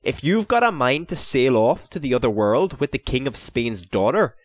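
A man's voice: mid-range voice, expressive, normal speed, no room sound, pitched above the performer's own voice, natural voice. A man doing a cheeky, joking young lad. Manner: cheeky, mock-dramatic.

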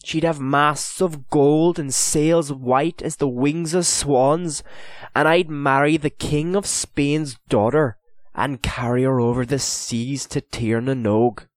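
A man's voice: a little high, normal speed, no room sound, pitched above the performer's own voice, lightly acted. A man reading aloud voices a proud, dreamy young noble boy. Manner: earnest, dreamy.

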